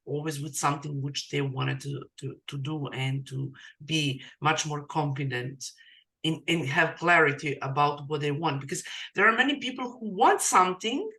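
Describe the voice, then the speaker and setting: A woman speaking English as a second language, a coach talking in a casual podcast conversation, halting with many restarts. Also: low-pitched, pushed and bright. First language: non-English.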